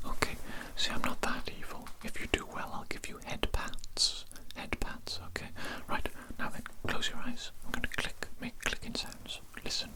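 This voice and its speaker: A man playing an evil, smug, controlling doctor in a breathy whispered ASMR roleplay, slowly and deliberately repeating his phrases with condescension. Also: extremely high pitch, full character voice. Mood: condescending praise, then patient, testing. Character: evil male mad doctor, smug, controlling, softly menacing.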